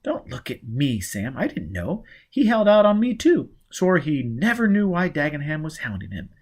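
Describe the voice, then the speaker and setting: A man's voice: a little high, wildly swooping pitch, normal speed, a little mellow, pitched above the performer's own voice, exaggerated voice. A man voicing a fierce, loyal, hot-tempered woman from an adventure novel in a vehement, commanding manner. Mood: furious.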